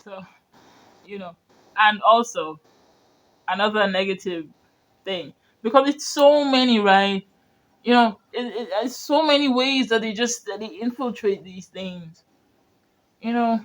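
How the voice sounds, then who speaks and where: High-voiced man. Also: unhurried, pauses often, a bit bright. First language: Yoruba. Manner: rambling, earnest, full of "you know" and restarts. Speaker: media commentator. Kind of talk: reaction commentary on video clips.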